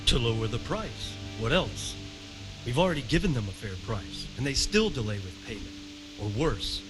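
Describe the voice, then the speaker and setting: A man playing a wary, troubled medieval lord in a video game, speaking with measured authority. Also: mid-range voice, very animated, over music, natural voice, poor audio. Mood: cynical. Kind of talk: cinematic game dialogue.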